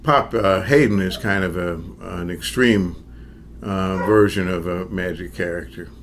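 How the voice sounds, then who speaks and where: A man's voice: low voice, background noise. Hesitant older man in his sixties. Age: 60s. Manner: hesitant, many pauses and fillers; reflective, chuckles as he talks. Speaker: magician. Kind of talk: relaxed storytelling in an interview.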